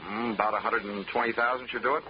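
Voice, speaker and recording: An actor playing a wisecracking insurance investigator in a 1940s radio drama, narrating and talking with a dry, wry manner. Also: male voice, medium pitch, fairly even intonation, fast talker, slight background noise, natural voice. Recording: muffled old radio broadcast with music.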